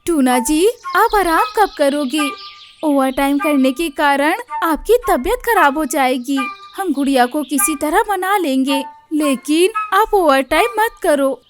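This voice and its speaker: A woman voicing a gentle, worried mother bird in a Hindi cartoon story, polite and pleading. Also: sits high, expressive, a little slow, pitched above the performer's own voice. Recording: music behind the voice.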